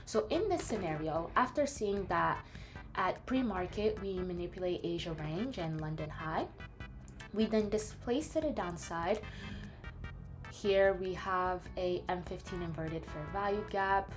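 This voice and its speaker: A woman's voice: fairly low pitch, animated, unhurried. A young woman from France, French her first language with a slight French accent, chatting in a scattered, bubbly way and breaking down a trade. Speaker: day trader. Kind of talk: casual vlog, screen walkthrough. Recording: background music.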